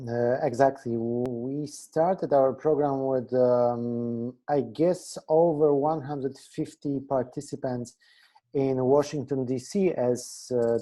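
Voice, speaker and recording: A man's voice: medium pitch, warm tone. A Polish-born journalist, a man speaking English as a second language, who restarts phrases while answering questions. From Poland. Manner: searching for words, restarts phrases. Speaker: journalist guest. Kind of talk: casual interview answers. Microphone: video call.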